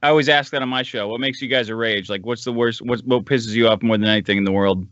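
A man's voice: mid-range voice, steady tone, quick pace, a bit bright, no echo, dull audio. A man appearing as a podcast guest, ranting casually and comedically in a crude, sardonic, profane way. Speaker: podcast guest, show host himself.

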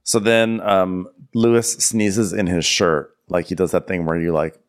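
Low-voiced man giving snarky recaps. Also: little pitch movement, measured pace. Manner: mocking, playfully exaggerated. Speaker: comedy podcast host.

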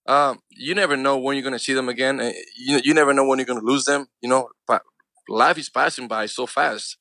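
A man's voice: mid-range voice, fairly even intonation, a little fast, sharp tone, dry recording, rough recording. A man around forty with a Cuban accent, Spanish his first language, talking earnestly as an interview guest and peppering his speech with "man" and "you know". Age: 40s. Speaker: actor and filmmaker guest. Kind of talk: casual interview talk.

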